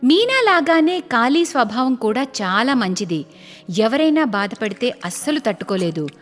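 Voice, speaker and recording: A woman narrating a scripted cartoon story in an expressive storytelling style. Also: fairly high pitch, normal speed, in a large hall. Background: faint music.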